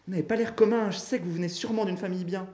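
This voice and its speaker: A man reading a story aloud, voicing an anxious, flattering old grandmother who coaxes and implores. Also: high voice, very fast, echoey room, voice pushed up, close to an everyday voice. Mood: pleading, coaxing. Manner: imploring, coaxing.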